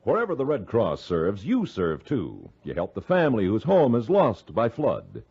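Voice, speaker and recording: Male vintage radio announcer, gravelly and husky, hugely animated, emphatic appeal delivery, dull old recording. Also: on the low side, normal speed, faint music behind. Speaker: public service announcer. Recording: muffled 1950s radio recording.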